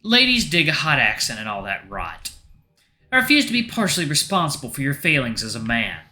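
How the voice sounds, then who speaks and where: A man's voice: medium pitch, wildly swooping pitch, fairly quick, sharp tone, voice pushed up, heavily acted. A man voicing a weary older informant who is dry, guarded and grudgingly protective. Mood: exasperated.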